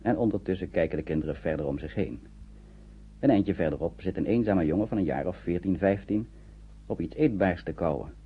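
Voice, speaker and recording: A man's voice: low-pitched, brisk, phone-quality sound, a little hiss or hum, natural voice. A man narrating a radio drama as a storyteller, in scripted, dramatic prose, on an old radio recording. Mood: grave, dramatic. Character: storyteller narrating a tense wartime journey.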